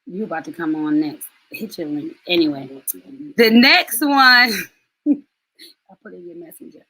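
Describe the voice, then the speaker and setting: A middle-aged woman hosting a live online stream, chatty and affectionate, with quick asides. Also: lowish voice, bright, forceful tone, husky, low-quality recording. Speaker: host and soul mentor.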